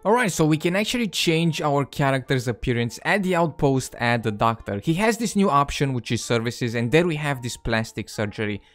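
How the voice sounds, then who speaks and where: A man's voice: mid-range voice, animated, brisk, hardly any pauses, faint music behind. A young adult man hosting a gaming video with casual, conversational gameplay commentary.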